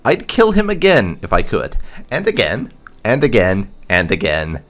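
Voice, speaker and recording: A man doing roleplay commentary on a game playthrough, musing and hesitant with hums and pauses. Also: fairly low pitch, wildly swooping pitch, fairly bright tone. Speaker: gaming video host.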